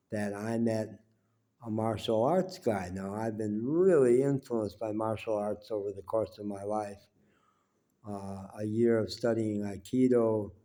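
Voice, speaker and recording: A man in his seventies with a cold and a stuffy nose, talking slowly and reflectively at home in a rambling personal monologue. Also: lowish voice, dark tone. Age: 70s. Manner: unhurried, rambling, with frequent pauses and fillers. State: congested with a cold. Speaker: vlogger. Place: small home indoors, quiet.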